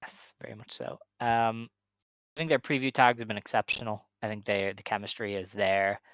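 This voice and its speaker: A man's voice: lowish voice, steady tone, moderate pace, a bit bright, dry recording. A man co-hosting a casual podcast, talking things through with lots of "I think" and "um". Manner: chatty, hedging with "I think" and "um". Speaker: podcast co-host. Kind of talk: casual wrestling podcast chat.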